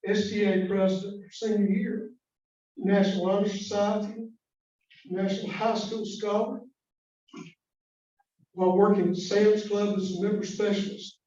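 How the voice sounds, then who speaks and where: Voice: mid-range voice, flat delivery, moderate pace, pauses often, dark tone. A club member reading a formal scholarship announcement from prepared notes. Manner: reads from prepared notes, stumbles on a name. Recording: echoey hall, distant and dull.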